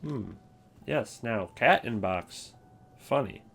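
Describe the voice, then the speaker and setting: A man's voice: mid-range voice, lively intonation, slow pace, gravelly, a bit bright, breathy edge. A man giving casual, unscripted commentary as a host, rambling and thinking aloud as he goes. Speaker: host ranking video game voice lines.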